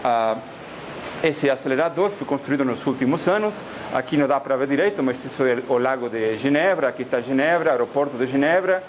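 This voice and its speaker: A man's voice: medium pitch, lively intonation, fairly quick. Argentine guy lecturing physics, lots of uh and eh, mid voice, noisy hall recording. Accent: Argentine Spanish. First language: Spanish. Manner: hesitant, many filler sounds. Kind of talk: academic colloquium talk.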